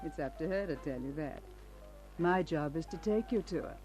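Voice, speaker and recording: A sly, flirtatious noir dame. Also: female voice, sits low, lively intonation, moderate pace, warm tone, low-quality recording. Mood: cool, teasing. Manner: coy, teasing. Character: flirtatious, sly blonde femme fatale. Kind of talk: old-time radio crime drama acting. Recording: old radio recording with faint music.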